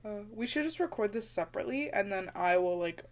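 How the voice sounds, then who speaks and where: A woman's voice: mid-range voice, lively intonation, fairly quick, darkish tone. Young woman with a slight lisp. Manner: mumbling, laughs often. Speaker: podcast co-host. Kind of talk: casual chat.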